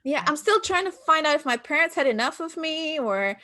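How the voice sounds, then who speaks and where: Lively Albanian-born woman telling her story. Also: high voice, brisk. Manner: animated storytelling, quotes herself and others. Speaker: guest, marketing business founder. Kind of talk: casual podcast interview.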